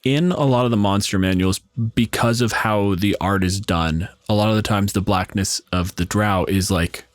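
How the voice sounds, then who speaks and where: A man with a fairly low voice, recorded dry without room sound. He speaks as a podcast panelist, frank and explanatory in a casual discussion. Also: moderate pace, dry recording.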